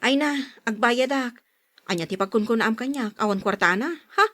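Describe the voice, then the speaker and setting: Female voice actor as sharp-tongued Ilocano housewife for radio drama: high, bright, very animated, bossy and grumbling. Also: moderate pace, close to an everyday voice. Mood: indignant. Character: sharp-tongued, demanding wife, fussy and complaining about neighbours.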